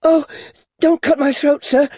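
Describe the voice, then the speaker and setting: Man acting terrified small boy, high and pleading. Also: little pitch movement, unhurried, warm tone, dry recording, clean studio sound. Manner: timid, imploring, deferential. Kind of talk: dramatised classic novel reading.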